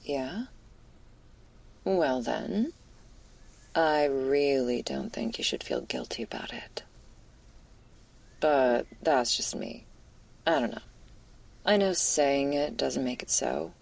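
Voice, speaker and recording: A woman playing a laid-back, caring but anxious stoner werewolf in an intimate ASMR roleplay, speaking in casual slang with a hesitant, husky, breathy murmur that drifts into whispers. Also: rumbling low, slow, long pauses, a little mellow, full character voice. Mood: gentle, reassuring.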